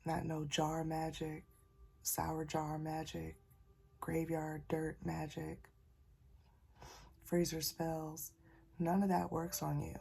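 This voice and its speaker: A woman sharing a casual spiritual message, talking softly because she is a little sick, in a husky, near-whispered voice and a folksy manner. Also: low voice, monotone, slow pace, long pauses. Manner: folksy, calls listeners y'all and child. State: slightly sick, keeping voice soft. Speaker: spiritual channeler.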